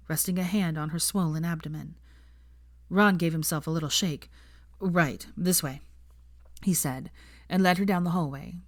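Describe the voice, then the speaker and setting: Low-voiced woman reading a story. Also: no echo, clean studio sound. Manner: steady storytelling read. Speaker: fanfiction narrator. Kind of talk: scripted narration.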